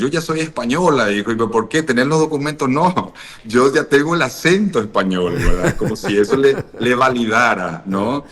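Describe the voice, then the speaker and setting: A man from Paraguay, a podcaster guest speaking over a call, measured and thoughtful, repeating words as he searches. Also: fairly low pitch, fairly bright tone. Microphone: video or phone call.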